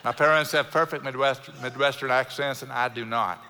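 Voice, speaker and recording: A middle-aged man with a South Houston Texan accent, preaching a sermon in an earnest way, slowing down to stress key words and adding light self-deprecating humor. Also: medium pitch, fairly even intonation, pushed and bright. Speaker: pastor. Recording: long hall reverb from a church sanctuary.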